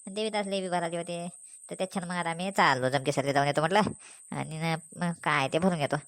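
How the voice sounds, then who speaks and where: Old cartoon village man, voiced by a woman, roguish, chatty, rapid, lowish pitch, rural Maharashtrian accent. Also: fairly even intonation, lightly acted. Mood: jokey. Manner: chatty, rambling. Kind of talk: comic cartoon dialogue.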